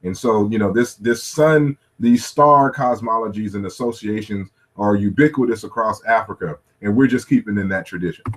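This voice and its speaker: A man's voice: fairly low pitch, normal speed, hardly any pauses. A man lecturing through slides at a workshop, thinking aloud with many "you know" fillers and restarts. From United States.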